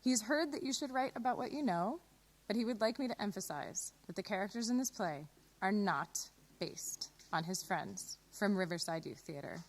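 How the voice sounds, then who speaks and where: A woman's voice: medium pitch, animated, normal speed. A woman hosting a live broadcast, introducing radio plays. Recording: clear background noise and ambience.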